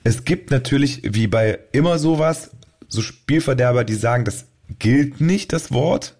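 Playful male podcast host, slightly husky. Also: mid-range voice, animated, a little slow, darkish tone. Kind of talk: casual comedic podcast banter.

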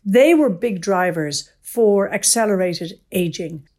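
A woman professor explains science as a guest in an interview. Her voice sits at a middle pitch, and she speaks in an articulate, enthusiastic way. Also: expressive, slow, a little mellow.

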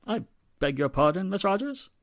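A man's voice: high-pitched, very animated, dry recording, studio quality. An actor playing a haughty, formal, wealthy father in a 1940s-style radio mystery. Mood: concerned, formal. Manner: formal, pompous, measured. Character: polished, haughty wealthy father, secretly guilty.

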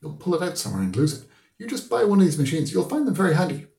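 A man's voice: a little high, sing-song, rapid-fire, creaky, darkish tone, echoey room, voice pushed up. A man voicing a pompous, opinionated old schoolmaster in an audiobook, lecturing emphatically with rhetorical questions. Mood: preachy. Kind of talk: audiobook character voice.

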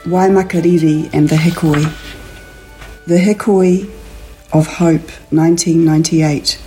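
Kiwi woman, low mellow voice, author reading poems or just chatting. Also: steady tone, a little slow, faint music behind. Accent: New Zealand. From New Zealand. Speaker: author and poet, interview guest. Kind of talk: poetry reading and casual chat. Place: at home, indoors.

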